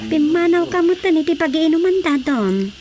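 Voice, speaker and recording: A woman's voice: very high voice, expressive, moderate pace, darkish tone, faint music behind. A woman acting a dutiful, pleading young member of a household in an Ilocano radio drama. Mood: scolding.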